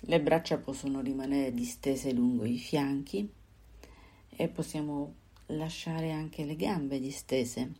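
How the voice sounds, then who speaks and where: A woman guiding a body scan meditation, giving calm, unhurried instructions in short phrases with pauses. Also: extremely low pitch, breathy edge. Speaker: meditation guide.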